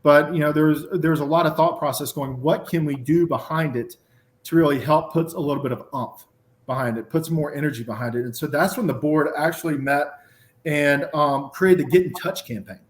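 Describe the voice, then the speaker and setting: A man's voice: a little high, brisk. Roomy Southern man running words together. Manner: runs words together, many fillers. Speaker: guest. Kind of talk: casual podcast conversation.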